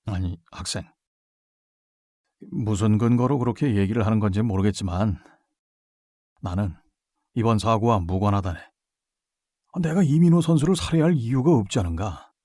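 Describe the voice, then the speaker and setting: Defensive, condescending middle-aged umpire. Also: male voice, fairly low pitch, normal speed, pauses often, no echo, studio quality. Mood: feigned calm. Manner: condescending, feigned calm breaking into indignant outbursts. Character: defensive, menacing middle-aged male baseball umpire hiding guilt. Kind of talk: audio drama acting.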